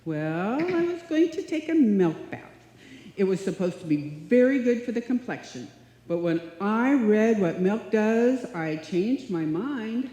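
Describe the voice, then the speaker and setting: A woman's voice: medium pitch, very animated, measured pace, mellow. A woman playing a naive, scatterbrained young roommate in a live old-time radio comedy, earnest and dim-witted. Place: live stage performance in a hall. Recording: echoey hall, live stage.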